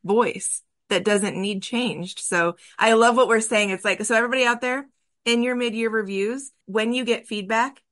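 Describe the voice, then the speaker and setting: A woman's voice: a little high, moderate pace, a bit bright, no room sound. A woman hosting an interview podcast, talking in an earnest, encouraging and emphatic way about workplace experience. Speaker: podcast host. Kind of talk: interview conversation.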